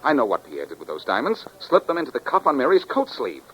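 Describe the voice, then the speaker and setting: An actor playing a quick-witted detective hero with wry, slangy, urgent delivery in a 1940s radio drama. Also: male voice, mid-range voice, wildly swooping pitch, fairly bright tone, husky, full character voice, rough recording. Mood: excited, urgent. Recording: vintage 1940s radio recording.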